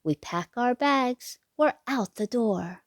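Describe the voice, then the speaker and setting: A woman reading a children's picture book aloud with expressive, deliberate phrasing. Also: a little high, no room sound, clean studio sound. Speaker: read-aloud narrator for children.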